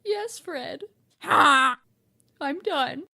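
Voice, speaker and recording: A woman voicing a timid, squeamish, anxiously obedient lady with a hesitant, whimpering delivery in a dark comedy audio drama. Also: high voice, expressive, drawn-out words, gravelly, exaggerated voice. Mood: reluctant, obedient.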